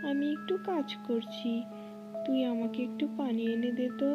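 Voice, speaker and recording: A woman's voice: high voice, steady tone, normal speed, highs cut off, natural voice. A woman voicing a worried, tender mother bird in a cartoon, with anxious motherly questioning. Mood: reproachful. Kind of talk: cartoon storytelling dialogue. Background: music.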